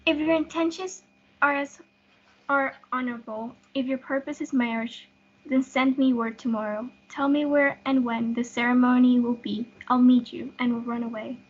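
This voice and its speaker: A woman's voice: high voice, steady tone, moderate pace, husky. A young woman reading a lovestruck, eager girl in amateur reader's theatre. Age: teenager. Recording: dull lo-fi audio.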